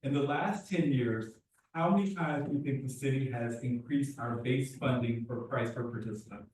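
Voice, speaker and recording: A man speaks at a community board meeting, earnest and quick, often restarting his sentences. His voice sits at an ordinary pitch. It sounds distant and echoey, picked up by a room mic across a large meeting hall. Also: a little mellow. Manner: earnest, talks fast with restarts. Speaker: youth program director. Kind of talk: public meeting testimony.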